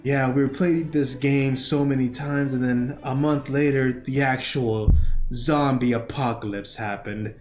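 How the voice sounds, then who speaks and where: A man's voice: medium pitch, steady tone, unhurried. A man co-hosting a casual, joking film review, talking in slang with some swearing. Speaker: podcast co-host. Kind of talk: casual movie review chat. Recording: echoey room, faint music behind.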